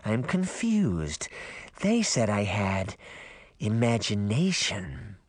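A man voicing an unhinged little girl who speaks slowly and dreamily. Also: lowish voice, wildly swooping pitch, no echo, exaggerated voice. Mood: dazed. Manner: slow, dreamy and insistent.

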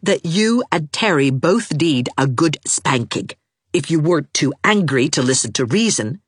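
A woman's voice: low voice, lively intonation, husky, no echo. A woman voicing a practical young woman who answers hysterics with dry, unpitying wit. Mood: dry, brisk. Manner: dry, brisk, gently sardonic.

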